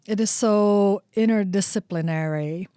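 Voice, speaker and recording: A woman in her forties from the Czech Republic with a Czech accent, speaking as a researcher in a thoughtful, measured interview. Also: mid-range voice, dark tone, smooth, no echo, clean studio sound. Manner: thoughtful, measured, pauses to find words. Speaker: university researcher. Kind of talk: interview conversation.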